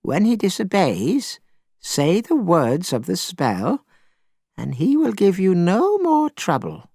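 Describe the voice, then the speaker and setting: A man voicing a kindly old woman, a goddess in disguise, in a storybook reading, speaking gently and softly. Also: sits high, wildly swooping pitch, takes it slowly, gravelly, no echo, studio quality, pitched above the performer's own voice, full character voice. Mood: gentle.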